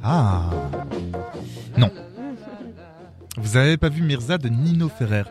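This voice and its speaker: A man's voice: mid-range voice, expressive, slow. Male radio host, playful banter, studio mic, faint music behind. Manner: playful, teasing, laughs often. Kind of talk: jokey live radio banter. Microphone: studio microphone.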